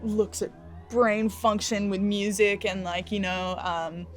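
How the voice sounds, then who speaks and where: A woman's voice: mid-range voice, little pitch movement, slow. A woman singer, chatting enthusiastically with many fillers in an interview. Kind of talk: casual interview. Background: faint music.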